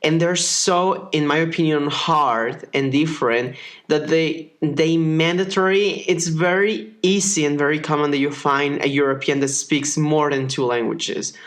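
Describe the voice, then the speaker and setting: A man's voice: on the high side, steady tone, a little slow, fairly bright tone. A young man from Mexico, a native Spanish speaker, co-hosting a podcast in casual, rambling, playful chat. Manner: rambling, filler-heavy, playful. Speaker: podcast co-host.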